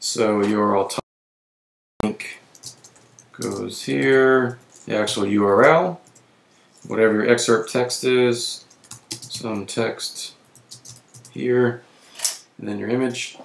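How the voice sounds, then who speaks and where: Hoarse man in an echoey room, casual walkthrough. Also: medium pitch, steady tone, slow pace, pauses often, a little hiss or hum. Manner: casual, self-correcting, clears throat often. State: losing his voice. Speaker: web tutorial presenter. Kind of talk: screen-recorded software walkthrough.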